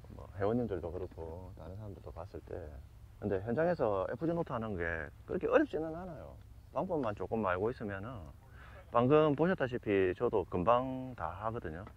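A man chatting casually and explaining fishing gear in an outdoor vlog. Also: fairly low pitch. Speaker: host of a fishing channel. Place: outdoors on a seaside walkway. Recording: dull outdoor sound with faint background noise.

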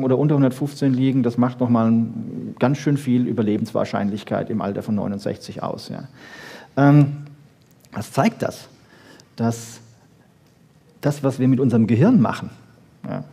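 A man delivers a popular science lecture in a husky, mellow voice of medium pitch. He talks conversationally to his audience, throws in rhetorical questions and checks his points with short tags.

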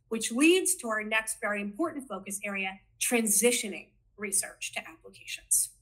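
A woman's voice: on the high side, pushed and bright, low-quality recording. A woman in her forties with a Boston accent, presenting a program overview over slides on a video call from a home office, earnest and enthusiastic with small stumbles. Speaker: program manager. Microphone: webcam on video call.

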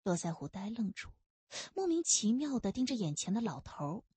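A woman reading an audiobook novel as scripted narration, with expressive delivery and a husky voice. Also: medium pitch, moderate pace, no echo.

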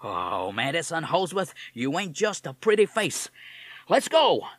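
A man's voice: fairly high pitch, big pitch swings, unhurried, voice pushed up. Mad, a man doing a brash streetwise parrot. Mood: scheming. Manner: tough wisecracking slang talk. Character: streetwise male parrot, brash and self-reliant.

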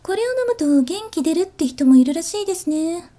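A zombie bunny flight attendant, acted by a woman for an ASMR audio drama. The voice is high and mellow. The service talk is soft, formal and politely teasing. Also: lively intonation, brisk. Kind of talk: Japanese ASMR situation voice drama.

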